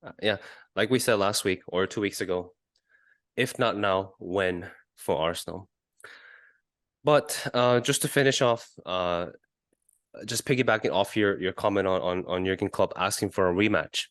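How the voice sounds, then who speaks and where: A man's voice: sits low, moderate pace, a bit bright, dry recording, clean studio sound. A man hosting a podcast in casual football chat, hesitant and often searching for words. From Asia. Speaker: podcast host.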